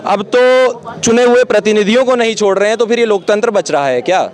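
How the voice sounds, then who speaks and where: A young male student from Uttar Pradesh speaks out at an outdoor street protest into a reporter's handheld mic. His voice sits fairly high for a man, and he argues with heat, stacking up rhetorical questions. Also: brisk. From Uttar Pradesh, India. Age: young adult. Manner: impassioned, argumentative, rhetorical questions. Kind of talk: street interview.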